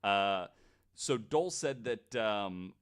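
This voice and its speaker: A man presenting a researched story on a podcast in a casual, halting way, with informal asides. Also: lowish voice, lively intonation, clean tone. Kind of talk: casual explanatory podcast talk.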